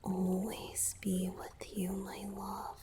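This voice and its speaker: An affectionate young merman boyfriend, played by a woman, speaks very slowly and intimately to the listener in a romantic ASMR roleplay. The voice is breathy, tender and teasing, often dropping to a whisper, with noticeable background noise behind it. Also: medium pitch, monotone.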